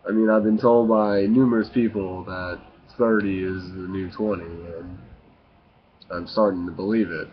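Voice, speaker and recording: A man in his late twenties giving a casual, confessional vlog monologue, with halting, stop-and-start phrasing. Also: deep voice, steady tone. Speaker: video blogger. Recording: muffled lo-fi with faint hiss.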